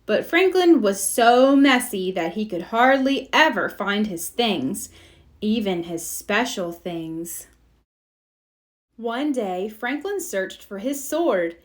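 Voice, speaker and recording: A woman narrating a children's storybook aloud in an expressive read-aloud style. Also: mid-range voice, takes it slowly. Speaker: storybook reader.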